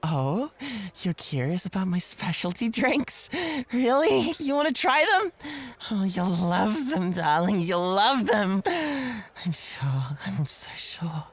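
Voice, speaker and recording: A woman's voice: fairly low pitch, slightly rough, exaggerated voice. A woman playing a possessive, coy club host in an ASMR roleplay, teasing and easily flustered, with theatrical phrasing.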